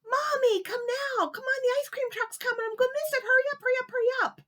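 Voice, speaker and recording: A woman voicing an eager, impatient little boy who pleads in a high voice. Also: fast, fairly bright tone. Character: eager little boy Kevin, whiny and excited.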